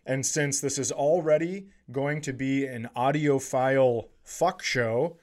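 A man's voice: medium pitch, slow pace. Profane, bantering American man on a podcast. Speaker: podcast co-host and comedian. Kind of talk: casual comedic podcast chat.

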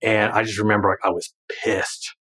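A man in his thirties talks in a loose, rambling way, full of fillers, restarts and easy laughs. His voice sits a little low. It is a relaxed podcast conversation. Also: moderate pace. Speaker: guest, former financial planner and creator.

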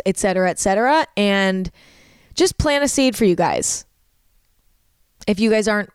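A woman's voice: medium pitch, smooth, no room sound, studio quality. A young woman, hosting a podcast in rambling casual chat full of "like" and "um". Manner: chatty, rambling, uses "like" and "um" a lot. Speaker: podcast host.

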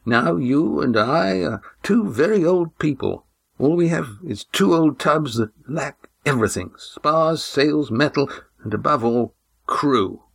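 A man's voice: medium pitch, measured pace, creaky, voice pushed up. A man doing a testy old sea mistress. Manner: testy, flat and factual. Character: old woman ship commander, weary, testy, blunt.

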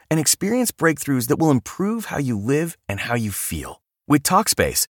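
A man's voice: a little high, breathy edge, dry recording, studio quality. A man reading a scripted advertisement as an announcer. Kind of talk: scripted ad read.

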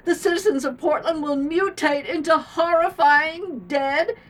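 A woman's voice: high voice, measured pace, a bit bright. An actress playing an appalled, accusing woman in a radio drama, questioning with emphatic indignation.

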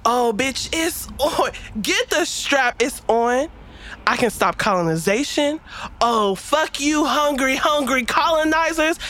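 A woman as excitable, sassy Pleasant, high and bright. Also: heavily acted. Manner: exclamatory, gushing. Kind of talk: audio drama acting.

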